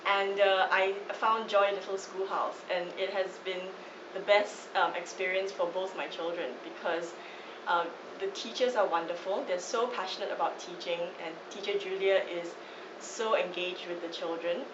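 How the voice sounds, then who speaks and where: A woman speaking warmly and enthusiastically as a parent giving a testimonial. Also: medium pitch, steady tone, normal speed. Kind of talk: casual testimonial. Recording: distant echoey dull recording, ambient noise.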